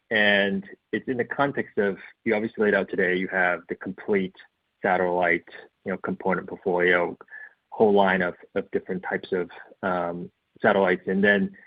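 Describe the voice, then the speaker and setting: A man's lowish voice arrives over a thin telephone-like line, very dry. He sounds like an analyst on an earnings call, putting his question in informal, loosely built sentences. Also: no room sound. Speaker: financial analyst asking a question. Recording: tinny phone-like conference line.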